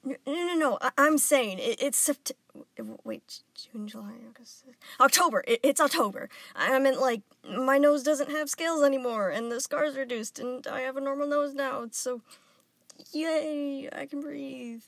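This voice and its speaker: A woman's voice: extremely high pitch, normal speed, no echo, voice pushed up. A woman voicing a panicky, stammering young man with claws who keeps blurting things out. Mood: flustered.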